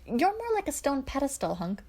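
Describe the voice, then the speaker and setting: A woman voicing a quick, teasing young space paladin with a blunt manner. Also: sits high, huge pitch swings, darkish tone, voice pushed up, heavily acted.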